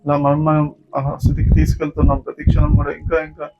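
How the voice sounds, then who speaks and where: A man with a Telugu accent leading a guided yoga nidra meditation as a teacher, giving slow, repetitive, soothing instructions. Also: a little high, completely flat delivery. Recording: dull lo-fi sound with music. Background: background music.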